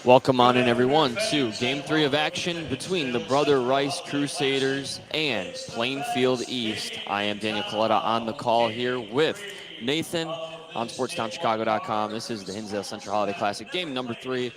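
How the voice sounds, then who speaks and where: A man works as play-by-play announcer at a basketball game. His voice sits at an ordinary middle pitch. A crowd is clearly audible behind him in the gym. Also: normal speed. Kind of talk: live basketball commentary. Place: courtside at a basketball tournament in a gym.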